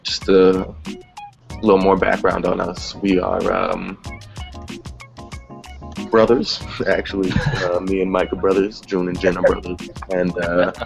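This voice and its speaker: A man's voice: sits low, level tone, unhurried, vocal fry, long pauses. A man from New Jersey hosting a casual music talk show. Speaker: host.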